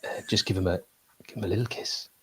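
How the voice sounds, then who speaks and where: A man's voice: deep voice, steady tone, fast talker, fairly bright tone, soft background music. A man from York co-hosting a casual online football chat, dry and teasing in manner. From York, Yorkshire.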